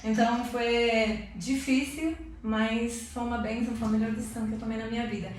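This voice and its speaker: A woman's voice: fairly high pitch, fairly quick, slight background noise. Woman sharing an emotional church testimony. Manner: earnest, tag questions, lively. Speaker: interview guest giving testimony. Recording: echoey room, mic at a distance.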